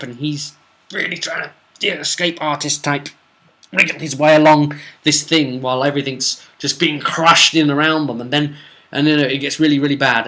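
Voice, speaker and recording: A man casually recounting a tabletop role-playing session as game master, telling it in an informal, animated way with dramatic emphasis. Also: a little high.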